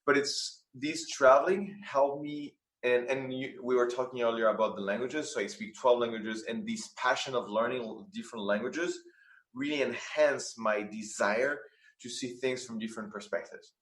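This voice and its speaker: A man's voice: mid-range voice, fairly even intonation, moderate pace, fairly bright tone. Guest, man, earnest and searching for words, echoey room. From France. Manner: earnest, restarts phrases often. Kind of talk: conversational interview.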